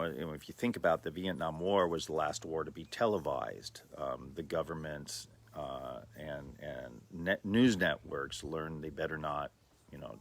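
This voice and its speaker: A man in his sixties from the Los Angeles area, giving a slow, thoughtful personal talk with many pauses and fillers. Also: very deep voice, vocal fry. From Los Angeles area, USA. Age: 60s. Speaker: livestream host.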